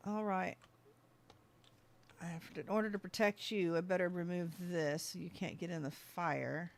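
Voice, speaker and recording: A gaming streamer with a mid-pitched voice narrates play in a casual, offhand way. The talk drifts between thinking aloud and addressing animals in the game. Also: steady tone, normal speed, vocal fry. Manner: talks to game animals and self. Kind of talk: casual gameplay commentary.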